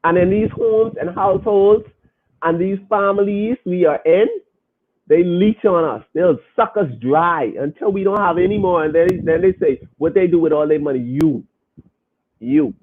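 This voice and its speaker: Man, high-pitched, podcast host, emphatic, preachy, casual commentary, tinny phone-line sound. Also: normal speed. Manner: emphatic, preachy, addresses listeners directly. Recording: phone-like, narrow band.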